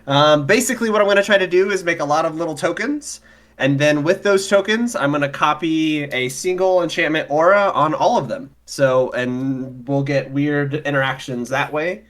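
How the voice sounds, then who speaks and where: A man on a video call with a somewhat high voice who rambles cheerfully. He stumbles and restarts often as he talks, and his tone stays light and upbeat. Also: moderate pace, a bit bright. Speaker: guest player. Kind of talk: casual chat on a video call.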